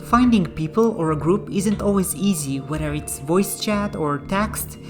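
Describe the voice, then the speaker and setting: A woman host narrating a scripted voice-over about learning languages, in a calm, explanatory way. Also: fairly low pitch, unhurried. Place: voice-over, not in the game. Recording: music bed behind the voice.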